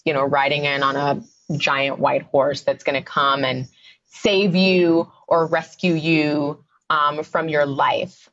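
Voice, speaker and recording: A woman's voice: sits low, fairly bright tone, highs cut off. A woman, a psychotherapist guest on a podcast, explaining her views in a conversational way with many fillers. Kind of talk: podcast interview.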